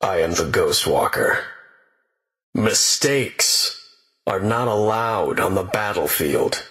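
An actor playing a stern, proud ninja assassin in a video game, speaking tersely and solemnly with dramatic weight. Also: male voice, sits low, expressive, takes it slowly, fairly bright tone, full character voice. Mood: solemn, menacing. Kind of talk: video game hero battle lines. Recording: echoing game voice clips with long reverb.

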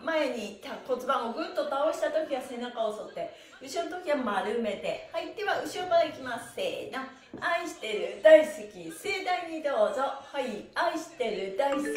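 A middle-aged woman hosting a live stretching class, giving cheerful, encouraging calls and friendly chat with playful polite wording. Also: high-pitched. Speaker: stretching instructor and livestream host. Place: small bare indoor room with wooden floor. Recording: echoey room with background music. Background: music.

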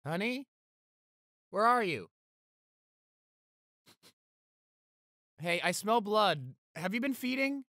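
A young man acting an anxious, devoted boyfriend in a roleplay audio, halting and stammering as he pleads. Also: high-pitched, bright, forceful tone, no echo, studio quality, full character voice. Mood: worried.

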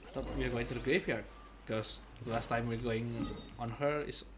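A man's voice: medium pitch, steady tone, normal speed, background noise. A young man giving casual, jokey playthrough commentary, often hesitating and repeating himself. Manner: hesitant, repetitive, jokey asides. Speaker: game streamer. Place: indoor desk, game music behind.

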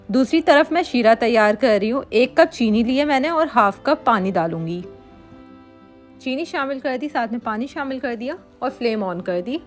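A woman hosting a cooking channel, calmly explaining a recipe step by step in a voice-over and mixing English words into her talk. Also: on the high side. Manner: calm, instructive, mixes English words into Hindi.

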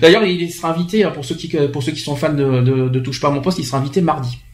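A man with a high voice hosts a casual panel chat, stumbling and repeating words as he goes, and his voice rings around an echoey room. He steers the talk with quick questions. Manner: hesitant, repeats words while searching. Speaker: host. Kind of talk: casual studio discussion.

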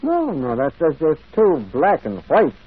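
An actor playing a gentle, thoughtful priest detective in an old radio drama, speaking in a hesitant, stammering, musing way. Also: male voice, on the high side, huge pitch swings, unhurried, husky, full character voice. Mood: earnest. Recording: tinny, band-limited old radio broadcast.

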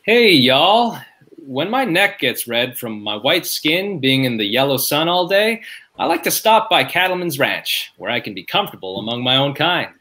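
A man playing a folksy cowboy steakhouse pitchman in a sitcom table read. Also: very animated, normal speed, pushed and bright. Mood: hammy.

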